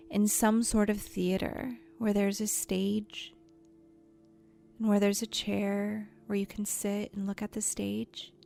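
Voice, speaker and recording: A woman leading a guided imagery meditation in a soft, soothing, gentle voice that turns breathy at times, with unhurried pauses. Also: mid-range voice. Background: soft background music.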